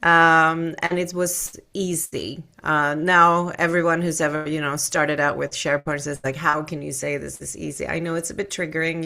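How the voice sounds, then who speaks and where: A woman's voice: fairly low pitch, creaky, fairly bright tone. A middle-aged woman from Sweden with an American accent, chatting casually and candidly as an interview guest. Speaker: podcast guest, tech expert.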